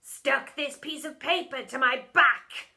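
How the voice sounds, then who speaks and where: Woman acting a booming, evil storybook science teacher. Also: sharp tone, heavily acted. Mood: angry, barking. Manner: booming, barking, drawn-out menacing delivery. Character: fanged evil male science teacher, gloating tyrant.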